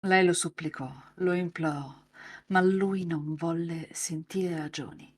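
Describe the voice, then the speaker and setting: A woman's voice: fairly low pitch, fairly even intonation, a little slow, breathy edge. A woman hosting a live reading, moving between scripted narration and casual chat with co-readers. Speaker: host and narrator of a live visual novel reading.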